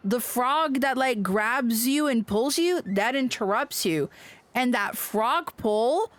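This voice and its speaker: A woman's voice: a little high, animated, takes it slowly. A woman livestreaming a game, giving casual commentary with sweary, exasperated venting. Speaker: livestreamer playing an online game. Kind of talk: casual gaming stream commentary.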